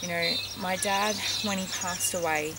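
A woman's voice: lowish voice, fairly even intonation, normal speed. A young Australian woman talking casually to the camera in a vlog. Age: young adult. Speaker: vlogger. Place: outdoors on a farm. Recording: noisy outdoor ambience with birds.

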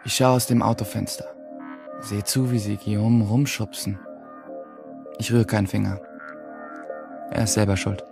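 Brooding schoolboy, over music. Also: male voice, fairly low pitch, level tone, normal speed, creaky, long pauses. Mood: detached, tense. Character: brooding, detached teenage schoolboy narrating his day. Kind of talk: first-person radio drama narration. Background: music under the voice.

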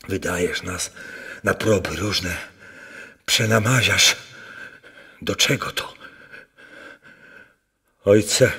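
A man's voice: medium pitch, fairly bright tone. A man acting a devout, hopeful peasant treasure seeker in a Polish radio drama, solemn and prayerful in folksy old-fashioned speech. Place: wooden barn used as radio drama set. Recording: reverberant barn, faint noise.

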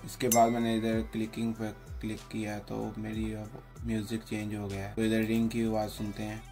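A man narrating an unscripted software tutorial, explaining plainly step by step. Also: fairly low pitch, completely flat delivery, fairly quick. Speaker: tutorial host. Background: background music.

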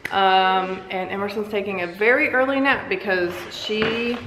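An American woman in her thirties talks in a slow, casual way, as a mom does on a family vlog. Her pitch sits in the middle for a woman. There is clear noise around her voice, picked up by a camera close by. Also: steady tone, echoey room. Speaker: mother vlogging. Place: at home and in a parked or moving car. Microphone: camera at about arm's length.

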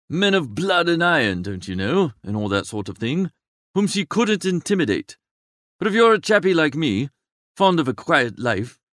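A man's voice: a little high, fairly bright tone, no echo, studio quality. A man playing a flippant, languid young upper-class idler, narrating a comic audiobook in a wordy, breezy, droll way.

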